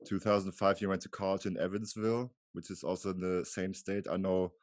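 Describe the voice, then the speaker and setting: A man's voice: low voice, level tone, a little fast, a little mellow, no echo, clean studio sound. A man in his thirties from Germany, a native German speaker, hosting a podcast and interviewing casually.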